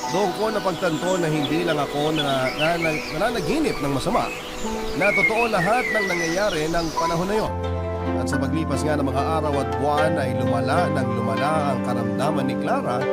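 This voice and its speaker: A man tells a love story in the first person, with heartfelt, emotional delivery. His voice sits a little high for a man. Loud music plays steadily behind him. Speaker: narrator reading a listener's letter. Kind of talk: dramatic first-person narration.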